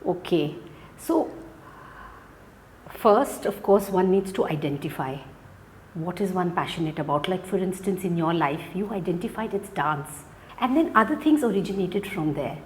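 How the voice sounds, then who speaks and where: A woman hosting an interview. She asks questions in a gentle, appreciative way. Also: fairly low pitch, expressive, moderate pace, a little hiss or hum. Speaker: host.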